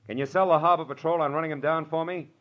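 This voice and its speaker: An American actor playing a cocky, wisecracking private detective in 1940s radio noir, with wry, slangy, clipped delivery. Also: male voice, on the high side, steady tone, quick pace, close to an everyday voice. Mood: confident, wry. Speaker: lead actor and narrator. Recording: dull, noisy old radio recording.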